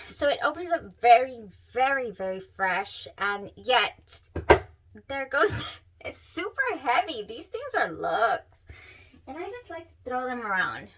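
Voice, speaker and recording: Stuffed-up woman vlogger, nasal, gushing with breathy sighs, bright tense tone, slightly off-mic. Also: fairly high pitch, distant mic, rough recording. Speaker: perfume reviewer, channel host. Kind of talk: casual talk to camera.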